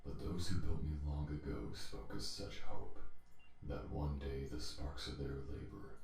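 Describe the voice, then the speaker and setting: A man playing a calm, calculating machine intelligence in a sci-fi audio drama, formal and detached, through an echoing synthetic effect. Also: a bass voice, unhurried. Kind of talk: scripted ASMR audio drama acting. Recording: echoing, distant sound with faint hiss.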